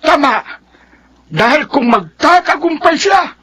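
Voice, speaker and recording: Shrill, solemn old faith healer. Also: male voice, expressive, unhurried, a bit bright, heavily acted. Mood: urgent, solemn. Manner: emphatic, grave. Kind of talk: melodramatic radio drama acting.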